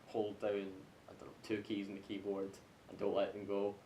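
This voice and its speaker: A man's voice: flat delivery, fairly quick, mellow. A young Scottish man hosting a casual group podcast chat. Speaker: podcast host. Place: echoey room. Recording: roomy echo, faint noise and rumble.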